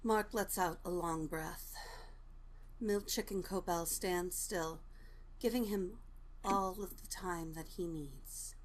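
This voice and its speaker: A woman's voice: on the low side, slow pace, a little hiss or hum, poor audio. A woman narrating scripted stage directions for a drama.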